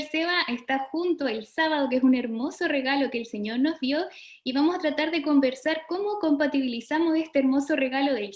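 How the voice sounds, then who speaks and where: A woman's voice: high voice, echoey room. A young adult woman with a Chilean Spanish accent, a doctor co-hosting an online forum and leading a prayer in an earnest way. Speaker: co-host, doctor.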